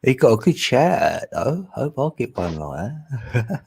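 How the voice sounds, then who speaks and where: A man's voice: mid-range voice, very animated, measured pace, creaky, darkish tone, a little hiss or hum. A man in his late forties chatting casually on a live stream, laid-back and easygoing with frequent chuckles. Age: 40s.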